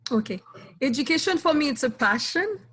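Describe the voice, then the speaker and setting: A woman answering interview questions earnestly and proudly, in short phrases with pauses. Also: high-pitched, lively intonation, fairly bright tone, slight background noise. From Haiti. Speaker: guest, school board candidate.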